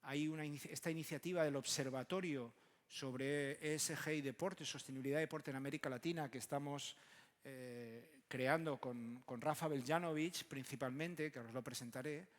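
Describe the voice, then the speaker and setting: A man from moderates a conference panel, speaking haltingly and often ending on short tag questions. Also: medium pitch. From Barcelona, Spain. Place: large conference room. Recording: echoey hall with long reverb.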